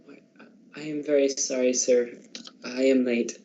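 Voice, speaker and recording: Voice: medium pitch, level tone, normal speed, warm tone, natural voice. An actor playing a meek, nervous male clerk in a radio play, timid and stammering as he addresses his boss. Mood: apologetic. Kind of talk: school radio drama acting. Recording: muffled lo-fi home recording.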